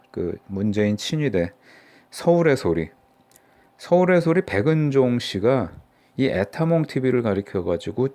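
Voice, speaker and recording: A man's voice: medium pitch, darkish tone. A middle-aged man from Seoul giving casual, mocking political commentary for his own channel, rambling with many fillers. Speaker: political YouTuber.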